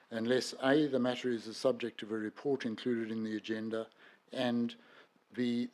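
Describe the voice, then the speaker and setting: A man's voice: mid-range voice, a little mellow. An Australian man, a resident and lead petitioner, reading formal questions in a precise, aggrieved tone. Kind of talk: reading prepared public questions.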